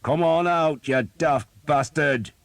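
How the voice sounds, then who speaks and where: A man voicing a blunt, foul-mouthed male archer captain who shouts and curses, in a dry, close recording. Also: lowish voice, moderate pace, dry recording, voice pushed up, natural voice. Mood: exasperated shout. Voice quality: shouting, cursing.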